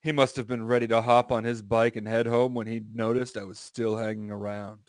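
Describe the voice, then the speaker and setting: A man's voice: mid-range voice, little pitch movement, fairly quick, dry recording, studio quality. An American man hosting a podcast, giving a comic dramatic reading of a story and breaking off into casual asides.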